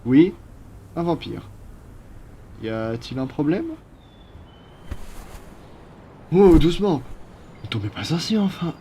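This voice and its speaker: A man's voice: a little high, lively intonation, a little slow, dark tone, a little hiss or hum, voice pushed up. A man voicing a courteous, apologetic vampire in an ASMR roleplay, speaking formally and politely. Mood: brisk, polite. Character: courteous, apologetic male vampire from a blood-donation foundation.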